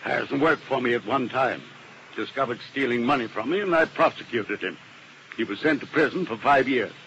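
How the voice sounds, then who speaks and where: Guy playing a snappish older businessman, bright forceful voice, hissy old radio sound. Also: medium pitch, brisk, dull audio, close to an everyday voice. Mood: defensive. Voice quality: brusque and imperious. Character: curt, irritable older male businessman. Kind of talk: 1950s radio drama acting. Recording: hissy vintage radio broadcast.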